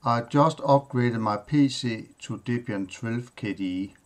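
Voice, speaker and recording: A Danish-native man talks slowly through his desktop, naming programs one by one. He pauses often and fills gaps with short fillers. His voice sits at an ordinary middle pitch. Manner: halting, plain, listing items with pauses and fillers. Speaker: tech tutorial host. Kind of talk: screen-recorded software walkthrough.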